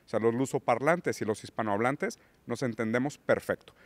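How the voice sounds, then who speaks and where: A man's voice: medium pitch, a little fast, no room sound. Man, Portuguese native, podcast guest lecturing on politics, dry close mic. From Brazil. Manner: lecturing, rising emphasis on key words. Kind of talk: political discussion.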